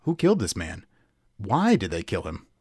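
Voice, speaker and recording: A man reading scripted true crime podcast narration as host, in a measured, emphatic way. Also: low voice, huge pitch swings, darkish tone, a little hiss or hum. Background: music.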